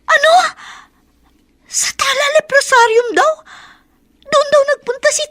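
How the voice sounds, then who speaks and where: An actress with a Filipino accent playing an excitable woman in a radio drama, talking in halting exclamations and questions. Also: very high voice, heavily acted. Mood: shocked. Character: excitable woman shocked by family news.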